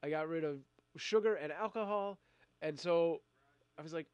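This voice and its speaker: A man's voice: a little high, normal speed, clean tone, dry recording. A middle-aged man hosting a casual live stream while fighting a cold, rambling with fillers and restarts. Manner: rambling, many fillers and restarts, laughs often. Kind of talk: casual live-stream chat, reading viewer comments.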